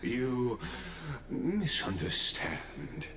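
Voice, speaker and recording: An actor playing a calm, scheming male oracle in a game cutscene, with measured, grandiose delivery. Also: medium pitch, background noise, heavily acted. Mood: mocking. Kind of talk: voiced game cutscene dialogue.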